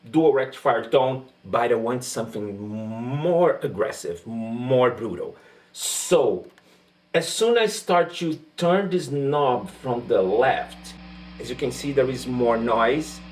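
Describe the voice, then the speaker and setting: A man from Brazil with a Brazilian accent and Portuguese as his first language, chatting casually as he explains his guitar rig. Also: mid-range voice. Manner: relaxed and chatty, with frequent fillers and restarts. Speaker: guitarist demoing gear. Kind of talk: casual gear demo talk.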